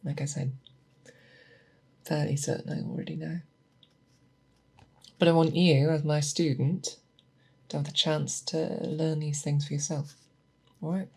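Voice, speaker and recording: A woman playing a seasoned, patient monster hunter who teaches an apprentice in a soft, deliberate, questioning way, in a close-miked ASMR roleplay. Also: deep voice, little pitch movement, gravelly, slightly rough, full character voice. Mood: patient, probing. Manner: deliberate, instructive, questioning, thoughtful pauses. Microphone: close binaural microphone.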